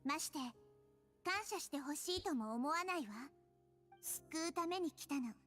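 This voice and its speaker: A woman voicing a small, youthful nature goddess in a Japanese video game, wise, gentle and earnest in a measured, persuasive way. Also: sits high. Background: game music.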